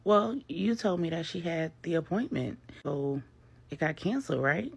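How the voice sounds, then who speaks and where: A storyteller acts out a pushy mistress in a serial story. She is prying and peevish, with a husky voice. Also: mid-range voice, animated, moderate pace, pitched above the performer's own voice. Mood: prying, peeved.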